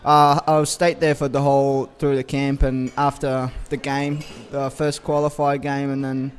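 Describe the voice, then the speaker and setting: A young man from Sudan speaks in a mid-range voice, answering interview questions. He pauses often and restarts his sentences, hunting for words as he goes. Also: mid-range voice, little pitch movement, soft background music. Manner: hesitant, many uh fillers and restarts. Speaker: footballer interviewee.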